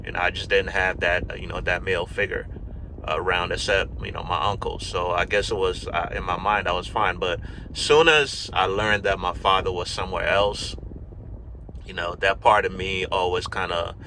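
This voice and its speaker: A deep-voiced man from Ghana, middle-aged, telling his own story to a camera. His tone is bright and forceful, with easy fillers and restarts as he rambles along. There is a faint hiss of noise behind him. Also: steady tone, normal speed, rough recording. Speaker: YouTube vlogger. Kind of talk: casual personal storytelling to camera.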